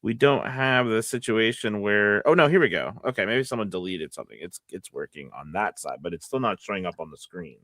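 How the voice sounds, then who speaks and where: A man co-hosting a casual livestream as a voice actor, talking in a rambling, halting way and often correcting himself. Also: on the low side, lively intonation, fairly bright tone.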